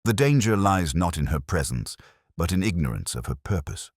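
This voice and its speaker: A man voicing a keen, calm Victorian gentleman detective in a storybook, speaking formally with quiet authority. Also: sits low, moderate pace, breathy edge, no echo, clean studio sound, full character voice. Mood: commanding.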